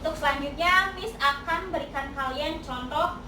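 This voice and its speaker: High-voiced young woman teaching. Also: a little fast. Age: young adult. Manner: chatty, mixes in English example phrases. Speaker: English teacher. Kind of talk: classroom explanation. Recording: echoey room, distant mic, noisy.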